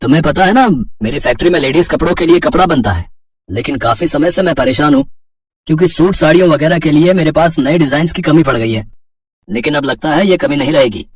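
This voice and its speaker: An actor playing a kindly, appreciative man in a cartoon story, earnest and encouraging, with a slightly husky voice. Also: on the high side, quick pace, no room sound, distant mic. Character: kindly, appreciative adult man, boss and husband in cartoon tale.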